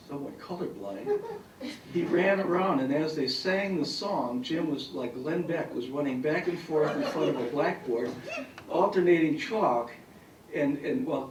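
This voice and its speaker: A retired professor in his later years speaks with a raspy, hoarse voice. He rambles through stories full of fillers. The sound is echoey and comes from across the room. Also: on the high side, far from the mic, slight background noise. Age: older. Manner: rambling anecdotes with frequent fillers. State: hoarse from bronchitis. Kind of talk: speaking at a farewell event. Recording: echoey hall recording from afar.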